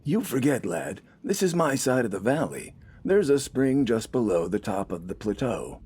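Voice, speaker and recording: Man voicing a wry older farmer-soldier, raised pitch. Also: very animated, normal speed, a little mellow, slightly rough. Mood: friendly. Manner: folksy, grumbling, plain-spoken. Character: wry, weary older farmer turned militiaman, stubbornly outspoken.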